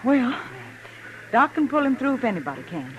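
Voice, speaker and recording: Faint hiss of an old radio broadcast surrounds a kindly frontier woman. She speaks earnestly and gently, devout in tone, in a 1950s western drama. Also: on the high side, sing-song, normal speed, narrow, tinny audio. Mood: concerned.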